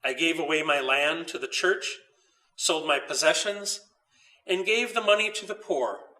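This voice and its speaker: A man plays a wise bishop saint living in a painted icon. He speaks in solemn, teacherly declarations, with a bright, forceful, raised voice, slightly off the microphone. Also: on the high side, unhurried, far from the mic, low-quality recording. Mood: earnest recounting. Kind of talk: storybook character voice.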